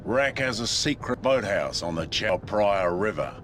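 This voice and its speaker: An actor playing a sly underworld informant in a spy game, unctuous and teasing with mock courtesy. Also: male voice, lowish voice, lively intonation, unhurried, a bit bright, faint music behind. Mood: wry, bargaining. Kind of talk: cinematic spy game acting.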